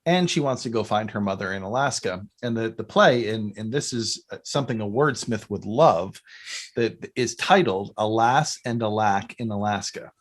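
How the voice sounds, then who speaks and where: A middle-aged man chatting casually as a podcast guest, telling rambling, animated stories. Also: lowish voice, moderate pace. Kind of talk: casual interview chat.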